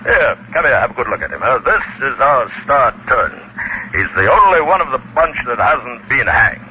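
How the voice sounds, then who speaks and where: A man's voice: mid-range voice, big pitch swings, normal speed, a little hiss or hum, voice pushed up, lightly acted. A man playing a polite, chatty waxworks manager who shows off murderers with relish, in a hesitant conversational old radio horror style. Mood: gossipy relish. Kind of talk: 1940s radio horror acting. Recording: muffled old radio recording with prominent music.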